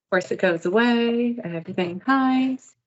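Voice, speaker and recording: A woman's voice: a little high, moderate pace, lo-fi sound. A woman co-hosting and moderating a live webinar in an informal, explanatory way.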